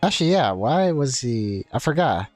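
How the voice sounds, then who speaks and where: A man's voice: medium pitch, very animated, moderate pace. A man livestreaming a game, talking in an informal, rambling way as he chats and reads aloud. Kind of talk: casual livestream chat, reading game text aloud. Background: game music.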